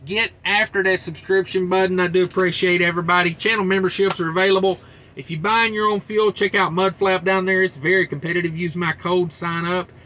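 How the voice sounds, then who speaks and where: Bright-voiced wisecracking trucker host. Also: male voice, sits high, level tone, a little fast, a little hiss or hum. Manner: folksy, wisecracking, reads articles aloud. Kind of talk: casual news commentary.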